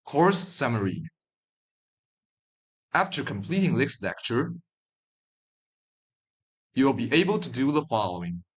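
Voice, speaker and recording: Guy teaching a language lesson, really slow n deliberate, bit of husk in the voice, repeat after me style. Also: mid-range voice, very animated, fairly bright tone, husky.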